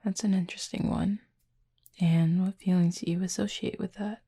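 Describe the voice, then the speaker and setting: Casting: actress for a reflective, guarded female cupid in intimate roleplay audio. Mellow, very breathy and husky, gently formal, close and dry. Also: fairly low pitch, steady tone, no room sound. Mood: thoughtful, tender. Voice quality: soft, breathy, husky with creak. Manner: thoughtful, measured, gently formal.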